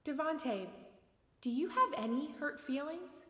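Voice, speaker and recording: An actress playing a composed American news reporter who asks measured, formal questions in a radio play. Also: female voice, high voice, animated, a bit bright. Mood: inquiring. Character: composed female news reporter interviewing a released man. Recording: echoey hall, distant mic.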